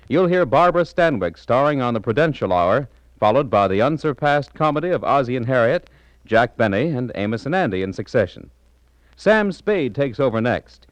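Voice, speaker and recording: A man's voice: medium pitch, no echo, low-quality recording. An American man announcing for network radio in a polished, formal, emphatic 1940s style.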